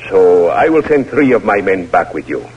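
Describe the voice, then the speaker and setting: An actor playing a grave, courteous Cuban general in an old radio drama, speaking measured, formal English with a Cuban Spanish accent. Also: male voice, sits low, very animated, breathy edge, full character voice. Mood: gracious, calm. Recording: muffled vintage radio recording.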